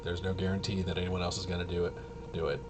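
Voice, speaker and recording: Casting: male streamer, lowish voice, loose rambling delivery that stops and restarts, noticeable background noise. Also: monotone. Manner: halting, self-interrupting, trailing off. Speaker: livestream host. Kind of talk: casual rambling livestream chat.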